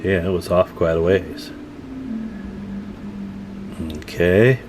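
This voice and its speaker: A man's voice: deep voice, slow, noisy background. A man narrating a hands-on repair tutorial in a casual, folksy way as he works. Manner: folksy, informal, talking while working. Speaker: radio repair tutorial host.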